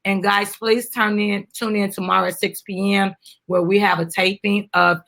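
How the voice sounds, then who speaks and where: A woman's voice: medium pitch, flat delivery, moderate pace, creaky, fairly bright tone. A woman chatting casually to viewers as she hosts a live stream and promotes a children's book. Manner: chatty, addresses viewers as guys.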